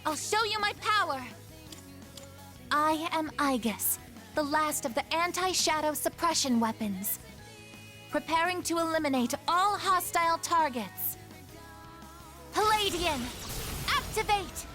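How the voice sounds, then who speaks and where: A woman's voice: very high-pitched, animated, measured pace, long pauses, sharp tone, faint music behind, full character voice. A woman voicing a polite, dutiful android combat weapon in a video game, speaking in formal, precise, earnest phrases. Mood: resolute. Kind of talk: anime video game voice acting.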